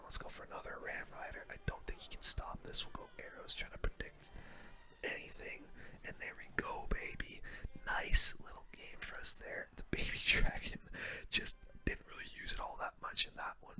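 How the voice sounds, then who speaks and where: An ASMR gaming creator giving casual play-by-play commentary in a soft, breathy, unvoiced whisper, close to the microphone, with gamer slang. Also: very high voice, completely flat delivery, moderate pace.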